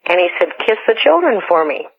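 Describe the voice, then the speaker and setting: A woman's voice: fairly low pitch, very animated, fairly quick, husky. Woman caller on a tinny phone line. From western United States. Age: middle-aged or older. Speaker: radio show caller. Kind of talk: telling a personal story. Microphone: telephone.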